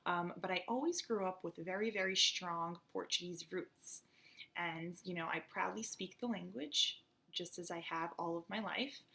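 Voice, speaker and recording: A school principal, a woman born in the United States, talks in a relaxed, chatty way with many fillers as she introduces and reads a storybook aloud to children. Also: on the low side, normal speed, gravelly.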